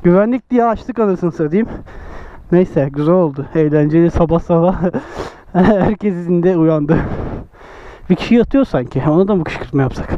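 A young man with a high voice jokes, swears and barks orders at his friends on an open beach at dawn. The muffled, lo-fi sound comes from a body-worn action camera. Loud wind and motorcycle noise run underneath. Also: animated, moderate pace. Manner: joking, bossy, swears freely, laughs often. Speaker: motovlogger. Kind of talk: casual chat with friends.

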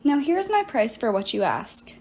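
A woman's voice: fairly high pitch, very animated, moderate pace, a little mellow. A woman voicing a scheming, vengeful ancient sorceress in an audiobook, theatrical and coaxing. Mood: menacing. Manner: coaxing, self-pitying, theatrical. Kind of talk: audiobook character voice.

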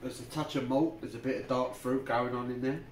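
A man's voice: medium pitch, fairly even intonation, brisk. A man with a British accent chatting casually as a guest beer reviewer, blunt, matey and repetitive. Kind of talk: casual chat. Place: small home bar room. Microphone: camera a short distance away. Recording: roomy, distant mic, faint noise.

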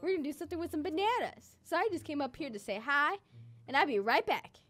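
A young woman playing an excitable, mischievous little girl in a playful improvised game roleplay, with a childlike, giggly manner. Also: high voice, quick pace. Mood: cheerful. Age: young adult. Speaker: gaming video host and roleplayer. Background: background music.

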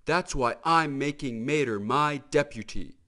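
A man's voice: medium pitch, a little slow, sharp tone, voice pushed up. A man voicing a stern but caring police-car sheriff from a children's storybook, speaking firmly and emphatically.

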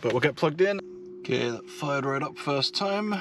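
A man's voice: mid-range voice, expressive, normal speed, a bit bright, slight background noise. A man giving casual running commentary on an electric car road trip, thinking aloud with fillers, recorded by camera in and around his car at a parking lot.

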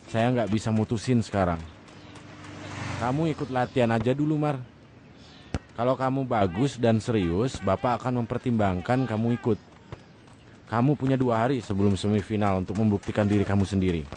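An actor playing a stern but fair youth football coach in a radio drama, speaking with instructive authority. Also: male voice, on the low side, a little mellow, a little hiss or hum, close to an everyday voice.

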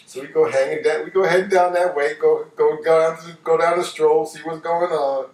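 Casual male storyteller, late fifties, New York accent, animated and repetitive for emphasis, recorded in a roomy living room at some distance. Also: a little high, moderate pace. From New York, United States. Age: late 50s. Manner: animated, repeats phrases for emphasis. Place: living room, seated on a couch.

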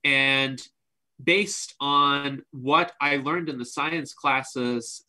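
Man in his thirties, formal measured moderator, bright forceful tone, webcam call. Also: medium pitch, low-quality recording. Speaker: host and moderator. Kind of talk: moderating a panel discussion. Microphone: webcam on video call.

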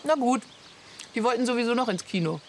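An actress playing a sensible, dryly teasing wife in a German radio comedy drama. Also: female voice, fairly high pitch, lively intonation, fairly quick, a little hiss or hum, natural voice.

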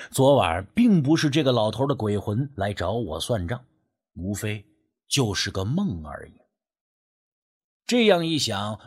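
A man's voice: mid-range voice, breathy edge. A man narrating a first-person ghost story as a dramatic, scripted audiobook.

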